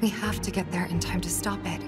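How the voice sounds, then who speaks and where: A woman's voice: fairly low pitch, steady tone, fairly quick, natural voice. A young American woman playing an earnest, caring, athletic fighter in a video game. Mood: worried, urgent. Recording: long reverb, game music behind.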